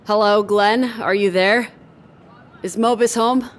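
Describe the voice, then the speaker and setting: A woman's voice: mid-range voice, steady tone, slow pace, natural voice. An actress playing a composed, serious woman in a sci-fi audio drama. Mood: businesslike.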